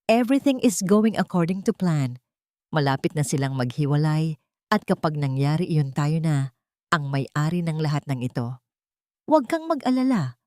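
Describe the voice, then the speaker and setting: Scheming young female plotter for an audio drama , played by a woman: low-pitched, hushed, secretly pleased. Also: animated, moderate pace, no echo, clean studio sound. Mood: sly, conspiratorial. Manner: sly, secretly gleeful. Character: scheming young woman whispering slyly on the phone.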